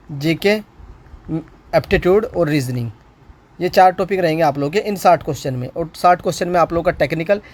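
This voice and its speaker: A man's voice: on the high side, darkish tone. A man giving an informal explainer as an exam-prep instructor, repeating points and posing questions to himself. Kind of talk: informal explainer on a recruitment notice.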